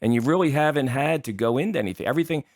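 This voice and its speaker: An American man hosting a casual interview, asking rambling questions in a halting, searching way. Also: mid-range voice, lively intonation, dry recording, studio quality. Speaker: show host, interviewer.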